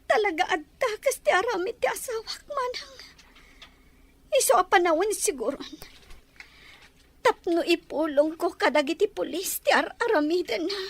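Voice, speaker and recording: A woman's voice: very high voice, animated, moderate pace, pauses often. A woman playing an anxious but determined wronged wife in an Ilocano Filipino radio drama. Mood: anxious, resolute.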